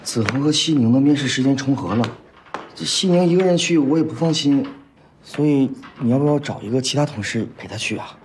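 A man's voice: mid-range voice, a little fast, a little mellow, a little hiss or hum, natural voice. An actor playing a worried, agreeable male office colleague in a TV drama. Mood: concerned. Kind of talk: Mandarin TV drama acting.

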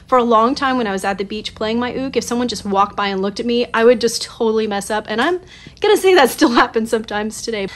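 A woman's voice: fairly high pitch, fairly bright tone. Chatty woman in her forties, self-mocking. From Southern California. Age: 40s. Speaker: songwriter vlogger. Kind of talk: casual talk to camera. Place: small colorful home studio room. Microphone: phone camera at desk distance.

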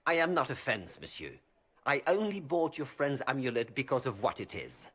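An oily merchant. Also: male voice, mid-range voice, big pitch swings, normal speed. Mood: smug, ingratiating. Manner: smooth, ingratiating, formal. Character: scheming male merchant, oily and polite. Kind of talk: video game voice acting.

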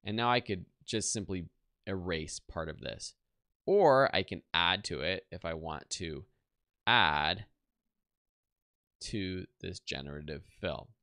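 Low-voiced man giving a tutorial. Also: slow pace, pauses often, pushed and bright, no room sound, studio quality. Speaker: software tutorial instructor. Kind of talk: casual step-by-step screen tutorial.

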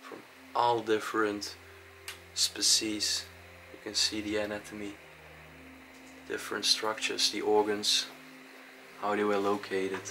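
Young male explorer, lowish bright voice, curious narration, faint hiss from a handheld camera mic. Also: monotone, a little slow, poor audio. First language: Dutch. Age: young adult. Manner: curious, narrates what he sees, short laughs. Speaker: urban explorer. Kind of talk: casual exploring vlog. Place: dark abandoned indoor aquarium.

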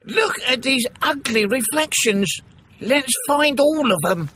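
High-pitched, eager little troll. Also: female voice, expressive, takes it slowly, fairly bright tone, a little hiss or hum, full character voice. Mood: eager mischief. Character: small eager mischievous troll pupil, fawning sidekick. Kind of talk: cartoonish children's storybook app acting. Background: music.

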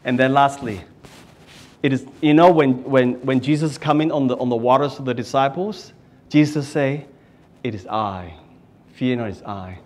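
A middle-aged man preaching at a lectern in a small church, swinging between loud cries and hushed prayer. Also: medium pitch, normal speed. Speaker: pastor. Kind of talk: sermon. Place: small church hall, indoors. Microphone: stand mic at a lectern.

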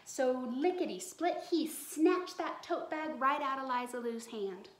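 A woman in her thirties reads a children's story expressively, her voice fairly high. She stretches words for drama, and the small room adds echo. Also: measured pace. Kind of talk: expressive picture-book read-aloud for children. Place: small bright room indoors. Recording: roomy indoor echo.

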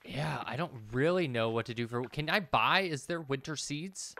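A man's voice: medium pitch, lively intonation, moderate pace, gravelly, bright, forceful tone. A man in his thirties doing casual gameplay commentary, hesitantly thinking aloud and repeating himself as he mutters. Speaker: game streamer. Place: indoor room, streaming at a computer.

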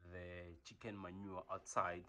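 A man's voice: sits low, little pitch movement, measured pace, pushed and bright, a little hiss or hum. A man in his thirties with a South African accent, a poultry farmer talking straight to viewers in a chatty, joking way as he films himself at work, now and then out of breath from the labour. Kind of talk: casual vlog narration. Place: inside a car and a farm shed. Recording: music playing clearly behind.

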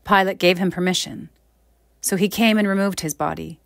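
Female minister, slightly low voice, measured scripture reading, dry recording. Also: fairly even intonation, gravelly, no echo.

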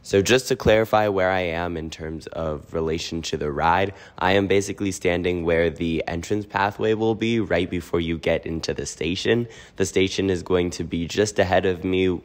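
A man's voice: sits low, dry recording. A young man walking outdoors at a construction site, filming himself on a phone and chatting casually with many ums. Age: young adult. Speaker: theme park vlogger. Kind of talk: casual walking tour vlog. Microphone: phone held at arm's length. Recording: noisy outdoor phone audio.

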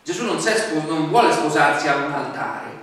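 A man's voice: on the high side, expressive, brisk, fairly bright tone. A man, conversational, with rhetorical questions and frequent fillers. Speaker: pastor. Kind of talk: sermon. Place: small church hall, indoors. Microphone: distant camera mic. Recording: echoey hall from far off with faint noise.

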